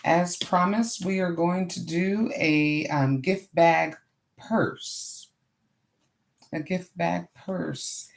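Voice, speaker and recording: A middle-aged woman with a hoarse voice, hosting a casual crafting livestream and chatting to viewers as she works. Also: on the low side, drawn-out words, a little hiss or hum. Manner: chatty, greets viewers warmly and often. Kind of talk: casual live craft chat.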